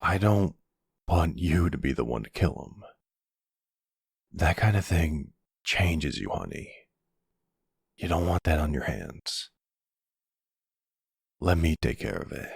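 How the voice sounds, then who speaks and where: A man playing a protective, doting middle-aged mafia boss in an intimate roleplay, speaking slowly with pet names in a gruff, husky voice. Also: sing-song, no echo, studio quality, full character voice. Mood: solemn, protective. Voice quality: low gravelly, husky. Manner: slow, affectionate, uses pet names. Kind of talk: intimate ASMR boyfriend roleplay.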